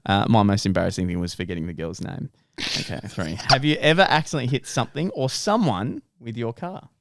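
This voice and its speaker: A young man co-hosting a podcast, easygoing and quick to laugh, reading out questions in casual chat. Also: mid-range voice, creaky, a bit bright, dry recording, studio quality. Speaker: podcast co-host. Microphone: studio podcast mic.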